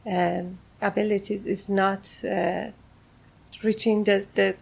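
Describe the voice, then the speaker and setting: A woman from Iran with a Persian accent, a native Persian speaker, answering casual interview questions in halting English as an artist. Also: medium pitch, little pitch movement, gravelly, slightly rough. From Tehran, Iran. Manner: halting, searching for words in English. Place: on a houseboat, seated at a table.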